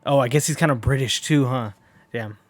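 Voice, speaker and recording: A man's voice: medium pitch, brisk, no echo. A man hosting a manga read-along in a casual, informal way, with rambling asides between the lines he reads.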